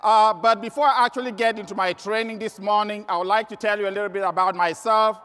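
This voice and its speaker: A man with a Cameroonian accent and a high-pitched voice delivers a motivational training speech. He is emphatic and repeats key words for stress. The sound carries the long reverb of a large hall. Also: steady tone, fast, bright, forceful tone, clean tone. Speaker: sales trainer and motivational speaker. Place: large indoor event hall.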